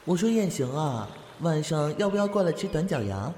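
A man's voice: a little high, sing-song, moderate pace, mellow, slight background noise. An actor playing a cheerful, easygoing young man from a clan who chats playfully in a fan audio drama. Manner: chatty, playful.

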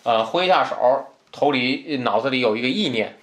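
A man co-hosting a discussion, explaining points casually in a halting, repetitive, loosely listed way. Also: medium pitch, lively intonation, fairly bright tone. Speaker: podcast co-host explaining a novel.

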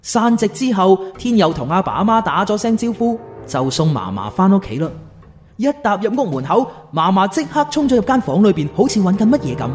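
Male narrator, Hong Kong Cantonese accent, high pitch, very varied intonation, scripted storytelling, music bed. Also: very animated, a little fast. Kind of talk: storytelling narration of an audio drama.

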